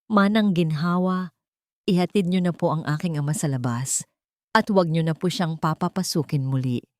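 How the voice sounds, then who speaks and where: A woman's voice: low voice, moderate pace, darkish tone, no room sound, lightly acted. A woman narrator plays a wealthy young father who stands his ground for his family in an audiobook drama. The delivery is earnest and emphatic, devoted and firm, in a very dry recording. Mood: resolute.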